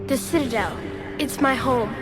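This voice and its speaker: A woman playing an ancient, calm and superior machine intelligence in a video game, speaking in a measured, formal way. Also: high voice, natural voice. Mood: calm, cryptic. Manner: measured, formal, detached, didactic. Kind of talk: video game cutscene acting. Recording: loud music behind the dialogue. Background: loud game score.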